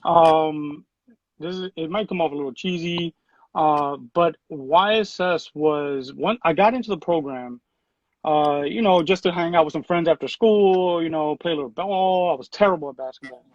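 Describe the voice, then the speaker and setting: A man in his thirties talks easily about his background, often pausing on "um" and "uh". His voice sits a little higher than most men's. The sound is dry and somewhat dull. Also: no room sound. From Puerto Rico. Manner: relaxed, full of fillers and restarts. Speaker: city program director. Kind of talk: conversational interview answers.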